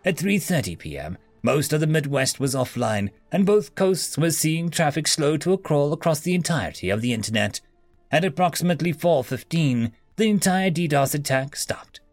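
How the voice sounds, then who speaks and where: A man's voice: a little high, sing-song, moderate pace, no echo, faint music behind, voice pushed up. A man voicing a stern, businesslike interrogator in an audio story, speaking formally and without yielding. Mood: stern, grave. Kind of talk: acted story dialogue.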